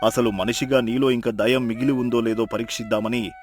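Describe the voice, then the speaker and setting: A man's voice: medium pitch, little pitch movement, fairly quick, natural voice. A man playing a grave, benevolent river god, giving solemn pronouncements in a moral folktale. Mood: grave, revealing. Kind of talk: animated moral folktale acting. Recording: music behind.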